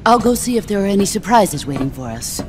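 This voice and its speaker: An actress playing a stern, composed veteran soldier in a video game, speaking with calm authority. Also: female voice, medium pitch, quick pace, faint music behind, close to an everyday voice. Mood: calm, resolute. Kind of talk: dramatic video game acting.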